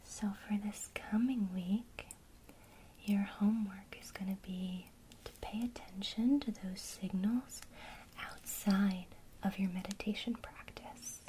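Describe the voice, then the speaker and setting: A woman whispering an ASMR witchcraft lesson, gently encouraging a listener she addresses as her student. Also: medium pitch, fairly even intonation, slight background noise, low-quality recording. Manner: speaks to the listener as a student, pausing for imagined replies.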